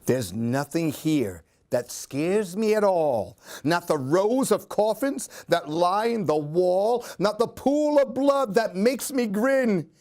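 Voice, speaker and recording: Man, children's story reader, high-pitched, very lively intonation, unhurried pace, theatrical, singsong rhyming delivery. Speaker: storyteller reading aloud. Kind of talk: expressive children's story reading.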